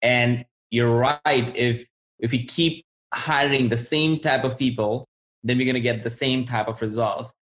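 A man's voice: mid-range voice, fairly even intonation, measured pace, fairly bright tone. A man hosting an interview podcast, enthusiastically affirming his guest and summarizing takeaways. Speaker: podcast host.

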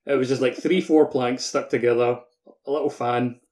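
Man, medium pitch, gaming podcast co-host, casual, enthusiastic, choppy filler-heavy phrasing. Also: steady tone, normal speed, a loud crowd.

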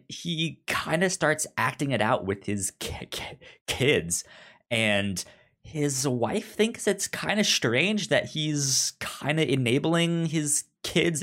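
A man co-hosting a podcast in a casual book discussion, halting and often stammering over his words. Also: medium pitch, a bit bright. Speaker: podcast co-host.

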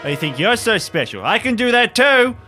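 Small needy creature for improvised audio drama, played by a man: excitable, indignant, high-pitched blurts, swooping over music. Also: fairly quick, heavily acted. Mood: indignant, competitive. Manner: blurts short exclamations. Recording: music behind the voice.

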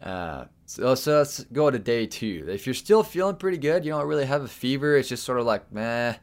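A man's voice: medium pitch, expressive, moderate pace. A young man hosting a podcast, talking casually with lots of fillers and restarts. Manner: rambling, frequent fillers and restarts. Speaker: podcast host. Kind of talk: casual solo podcast talk.

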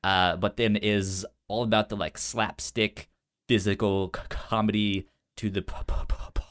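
A man's voice: fairly low pitch, flat delivery, normal speed, fairly bright tone. A man co-hosting a casual film discussion, stuttering often as he repeats sounds and syllables. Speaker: podcast co-host.

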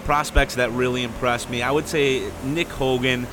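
A man's voice: medium pitch, steady tone, normal speed, noisy background. A young man hosting a sports radio show, reading a scripted report on location. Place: on location at hockey training camp arena.

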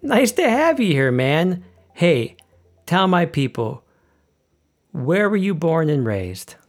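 A man hosting a podcast interview, asking questions in a slow, emphatic, playful way. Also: on the high side, sing-song, soft background music. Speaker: podcast host. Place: Berlin.

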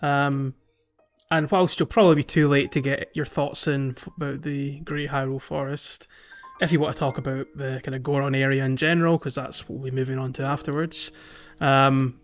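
A man's voice: medium pitch, level tone, moderate pace, soft background music. A man co-hosting a gaming podcast, chatting casually with a hesitant, wryly humorous manner. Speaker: podcast co-host. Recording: slightly dull, lo-fi podcast audio.

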